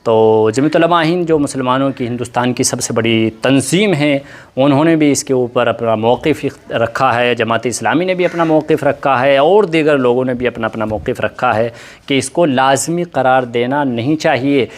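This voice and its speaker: A man's voice: medium pitch, normal speed. A man presenting news commentary in formal, Urdu-heavy diction, repeating points for emphasis. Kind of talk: news commentary mixing report and opinion.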